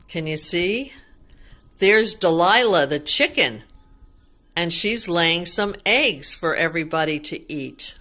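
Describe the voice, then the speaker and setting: Slow, lively woman reading to children. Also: lowish voice. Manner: child-directed, asks simple questions and explains. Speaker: children's read-aloud teacher. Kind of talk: picture book read-aloud with explanations for young children.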